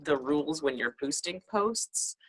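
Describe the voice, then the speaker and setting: A woman's voice: low voice, lively intonation, measured pace, husky, low-quality recording. A woman taking part in a group call, casually asking practical questions.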